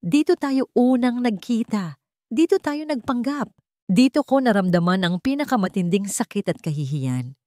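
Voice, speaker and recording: Woman acting devoted storybook heroine, determined, earnest, emotional, expressive intonation, dry recording. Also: on the high side, normal speed, no echo, pitched above the performer's own voice, lightly acted. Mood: tender, emotional.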